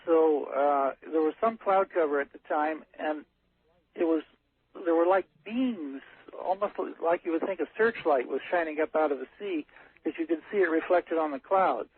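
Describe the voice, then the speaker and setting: Male phone-in caller, husky, halting and factual, thin telephone sound. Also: on the high side, no echo. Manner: halting, matter-of-fact, technical. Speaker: caller, ship's captain. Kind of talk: unscripted storytelling on a call-in show. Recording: narrow muffled phone line on radio.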